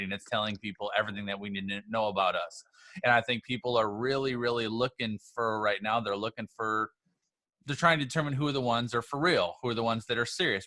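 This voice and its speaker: A man with a bright, forceful voice gives a motivational livestream talk as a business coach. He speaks informally and straight to his listeners, repeating phrases to drive points home. The sound is dry and close. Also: mid-range voice, no room sound. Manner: emphatic, repeats phrases for emphasis; informal, addresses listeners directly.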